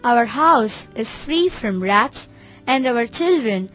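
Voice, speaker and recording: A woman voicing Lakshmi, Raman's gentle adult wife, in an animated children's story, speaking earnestly. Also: fairly high pitch, slow, soft background music, full character voice.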